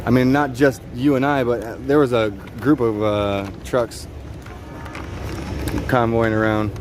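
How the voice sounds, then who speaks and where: A man hosting a casual outdoor livestream, chatty and quick to laugh. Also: mid-range voice, fairly even intonation, faint music behind. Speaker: livestream host. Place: outdoors on a city street. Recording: street noise behind.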